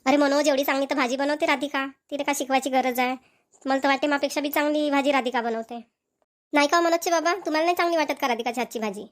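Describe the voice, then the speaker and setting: A woman acts out a chatty village wife from a homely Marathi family cartoon. Her voice is high, with a Varhadi accent from Vidarbha. She talks in a plain, conversational way, like everyday family dialogue. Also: fairly even intonation, fast, close to an everyday voice. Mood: homely, caring.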